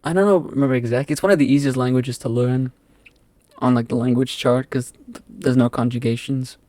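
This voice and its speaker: Young man, mellow voice, halting. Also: medium pitch, fairly even intonation, dry recording. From England. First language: Afrikaans. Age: young adult. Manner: rambling, hesitant, many fillers. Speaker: guest. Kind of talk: casual podcast interview.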